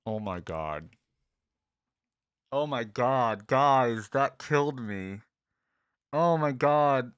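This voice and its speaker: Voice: medium pitch, lively intonation, extremely slow, long pauses, clear, resonant tone, dry recording, studio quality. A host casually reacting to game voice lines, slangy and talking straight to viewers.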